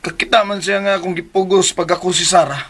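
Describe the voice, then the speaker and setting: An actor playing a caddish, evasive, self-pitying older brother in a radio melodrama, cajoling, with a Cebuano accent. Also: male voice, high voice, fairly even intonation, fast talker, fairly bright tone. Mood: whining, evasive. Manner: cajoling, tag words such as "ha". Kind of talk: melodramatic Filipino radio drama acting.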